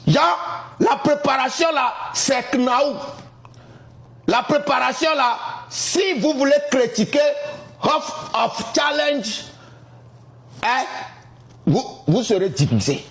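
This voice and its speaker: A man, ranting dramatically in a sing-song, shouting way. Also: very high-pitched, animated, slow, gravelly. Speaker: TikTok commentator in a played clip. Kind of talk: excited preaching-style rant. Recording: roomy echo.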